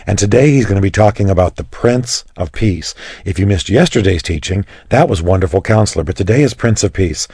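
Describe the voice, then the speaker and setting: A man hosting a religious radio program, earnest and chatty, his voice a little raspy today. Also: fairly low pitch, animated, a little fast, a little mellow, no echo, studio quality. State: slightly raspy voice. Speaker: radio host. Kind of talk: warm-up introduction on radio.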